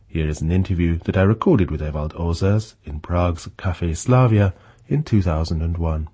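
A man narrating a radio feature as its reporter, in a calm, even storytelling manner. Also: sits low, normal speed, slightly rough.

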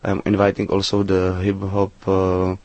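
A man, his voice sitting low and staying flat. He answers questions in halting, English, pausing on fillers and restarting his sentences. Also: no room sound, dull audio. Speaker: interview guest, DJ. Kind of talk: casual interview answers.

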